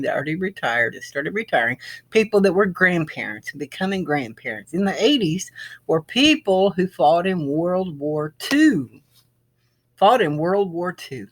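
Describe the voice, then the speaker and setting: A woman's voice: low-pitched, fairly bright tone. A middle-aged woman giving an informal, rambling explanation to viewers, often circling back to repeat her phrases. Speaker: video creator.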